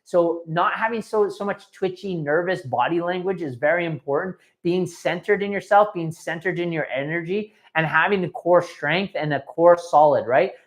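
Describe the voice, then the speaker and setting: A man's voice: on the high side, moderate pace, hardly any pauses. A man giving a live training talk as a dating coach, emphatic and repetitive, often checking in with his listeners.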